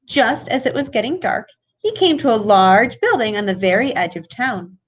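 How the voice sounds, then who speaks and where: Animated, high-voiced woman reading a storybook. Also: moderate pace, slight background noise. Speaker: storybook reader. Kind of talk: animated children's read-aloud.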